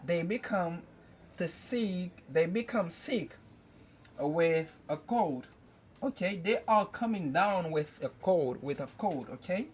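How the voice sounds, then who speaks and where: A young man teaching an English lesson at a whiteboard, slowly repeating each phrase several times. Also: high-pitched, lively intonation, a little hiss or hum. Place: indoors at a whiteboard. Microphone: camera close by.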